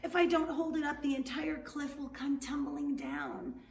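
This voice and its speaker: Woman acting out a shaky, straining, high-pitched trickster man. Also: normal speed, gravelly. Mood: urgent, strained. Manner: pleading, flattering, near yelling. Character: breathless, urgent trickster man feigning desperate strain. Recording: roomy, distant mic, light noise.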